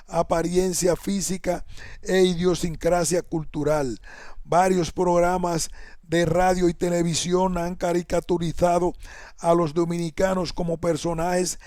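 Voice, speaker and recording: Slow, flat-voiced man reading aloud. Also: high voice, dry recording, clean studio sound. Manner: measured, even reading with occasional self-corrections. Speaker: essay reader. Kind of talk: reading an academic essay aloud.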